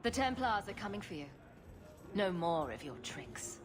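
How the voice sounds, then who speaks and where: A woman's voice: mid-range voice, big pitch swings, moderate pace, lightly acted. Stern fantasy game heroine, female actor: commanding, impatient, very varied intonation, music bed. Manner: blunt, commanding. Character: stern, impatient female adventurer hero, threatening and blunt. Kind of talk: video game voice acting.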